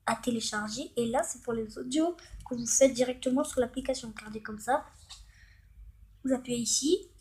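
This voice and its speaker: A woman hosting a casual, improvised tutorial, hesitant and halting as she thinks aloud while demonstrating. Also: a little high, darkish tone, breathy edge. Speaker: tutorial host.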